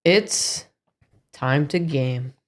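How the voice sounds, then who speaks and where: A woman's voice: low voice, very slow. A sniffly, run-down woman co-hosting a gaming stream, chatting casually with dry teasing.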